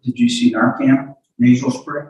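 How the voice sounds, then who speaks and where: A man questioning a witness as a lawyer in court, halting and repeating words as he forms his questions. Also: medium pitch, steady tone, darkish tone. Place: indoor courtroom. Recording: echoey courtroom, distant microphone.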